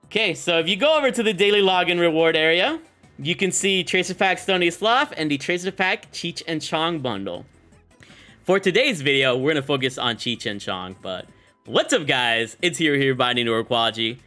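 Casting: young male gaming creator, bright forceful voice, casual slangy enthusiasm, music underneath. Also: a little high, lively intonation, moderate pace. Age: young adult. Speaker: gaming content creator and host. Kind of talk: casual excited game bundle showcase commentary.

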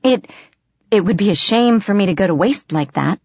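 A woman voicing a frightened but quick-thinking captive girl who bluffs and pleads, in an audiobook. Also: medium pitch, expressive, dry recording, highs cut off, voice pushed up. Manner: bluffing, pleading, hesitant when cornered. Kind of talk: audiobook character voice.

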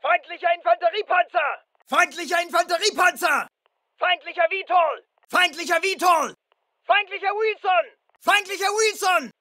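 Hoch schreienden, drängenden Soldaten. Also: male voice, extremely high pitch, moderate pace, bright, forceful tone, dry recording. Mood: alarmed, urgent. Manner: clipped, commanding, urgent. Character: alert male soldier barking urgent battlefield callouts. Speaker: voice actor in a dubbed military shooter. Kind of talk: military combat callouts, short barked lines.

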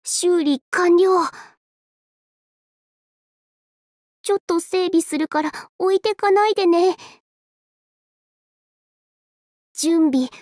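A woman's voice: very high voice, moderate pace, darkish tone, dry recording, studio quality. A woman voicing a shy, self-effacing young android fighter in an anime game, hesitant and earnest, with a tendency to stammer. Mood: earnest, focused. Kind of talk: Japanese anime game voice lines.

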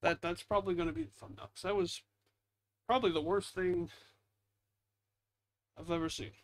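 A man's voice: high voice, steady tone, normal speed. A man giving casual, rambling, self-deprecating gameplay commentary as the host, muttering into a headset mic at home. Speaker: gaming video host.

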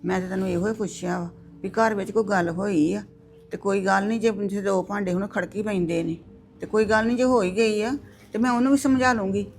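Older mother figure voiced by an actress, rapid gravelly speech, calm reasoning tone. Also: lowish voice, soft background music. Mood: soothing. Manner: soothing, reasoning, firm. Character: older mother-in-law, steady peacemaker. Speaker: mother in a family drama. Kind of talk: domestic drama acting.

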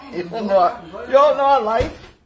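A man's voice: extremely high pitch, lively intonation, unhurried, crowd noise. A man hosting a casual family kitchen vlog, teasing and bantering playfully. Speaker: host filming a family cooking livestream. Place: home kitchen, indoors. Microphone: handheld phone camera. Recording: noisy handheld phone recording in kitchen.

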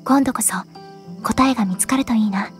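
A woman voicing an earnest, pensive young woman in an anime-style game, speaking in a serious, measured way. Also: on the high side, soft background music, natural voice. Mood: wistful. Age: young adult. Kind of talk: Japanese anime game voice acting.

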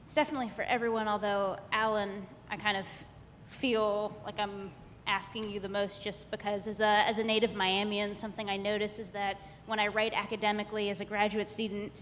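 Woman in the audience, flat-toned, hesitant, handheld mic, long hall reverb, faint rumble. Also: fairly quick. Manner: hesitant, searching for words. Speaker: graduate student audience member. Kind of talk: audience question at a panel. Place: large conference hall.

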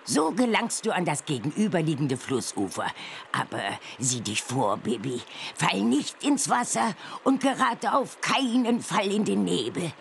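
An actress playing a kindly old witch aunt in a children's game, creaky and instructive, in a drawn-out theatrical manner. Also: fairly low pitch, big pitch swings, slight background noise, full character voice. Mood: instructive, caring. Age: older. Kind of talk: children's game character dialogue.

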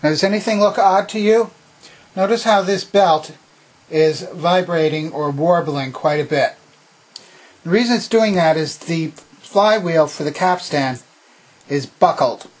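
A man with a somewhat high, husky voice gives an instructional demonstration. He explains each part methodically, one step after another, as a presenter showing how something works. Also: unhurried, rough recording. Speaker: presenter demonstrating vintage tape recorder. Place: indoor home workbench.

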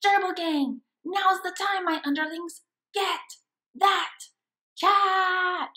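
A woman's voice: very high-pitched, steady tone, slow, sharp tone, voice pushed up, full character voice. A woman voicing a small, scheming evil genius hamster from a comic book, in grandiose villainous monologue. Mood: angry. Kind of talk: dramatic comic-book read-aloud.

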